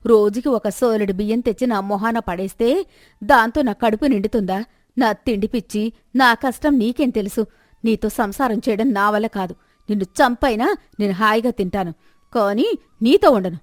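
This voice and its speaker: A woman storyteller voicing a greedy, scheming village wife with a sharp tongue and a complaining manner. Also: on the high side, normal speed, no room sound, studio quality, natural voice. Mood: angry, defiant. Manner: scornful, self-pitying complaining.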